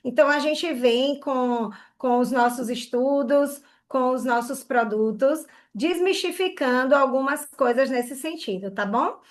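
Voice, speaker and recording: A woman's voice: high-pitched, unhurried, hardly any pauses. A woman from Bahia, giving an online hair care class in an earnest way and often checking in with "né?" and "tá?". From Bahia, Brazil.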